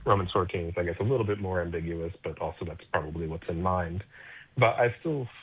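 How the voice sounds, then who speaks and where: An American man calls in over a thin, tinny phone line. His voice sits low and slightly husky. He hesitates often and fills gaps with ums and uhs, yet reasons carefully, like a scholar. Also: fast talker, dry recording. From Connecticut, USA. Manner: hesitant, many fillers, scholarly. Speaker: caller. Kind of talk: phone-in question.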